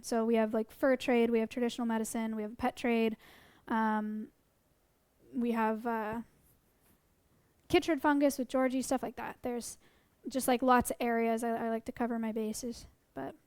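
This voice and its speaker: Streamer woman in her twenties, chatty and informal, voice fading and hoarse, high clear tone. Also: no room sound. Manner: chatty, with frequent ums and restarts. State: losing her voice. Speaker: streamer answering chat questions about sanctuary animals. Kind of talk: casual livestream chat.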